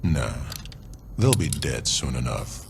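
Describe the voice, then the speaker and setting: A very deep-voiced, domineering gang boss for a video game. He talks with blunt swagger and lively swings in pitch. There is clear vehicle noise behind him. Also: slow, full character voice. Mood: contemptuous. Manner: blunt, swaggering, foul-mouthed. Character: menacing tattooed male gang boss, arrogant, domineering. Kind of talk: dramatic video game cutscene acting.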